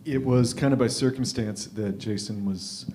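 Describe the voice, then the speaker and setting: A man in his fifties from Canada hosting a live show, telling a personal story informally in a wry, reflective way with deliberate emphasis. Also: medium pitch, very noisy. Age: 50s. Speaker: host of a live readers' theatre show.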